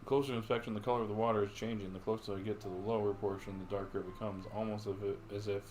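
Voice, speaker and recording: A man livestreaming a game, reading the dialogue aloud in a halting, dramatic way. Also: fairly low pitch, flat delivery, darkish tone, a little hiss or hum. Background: game music.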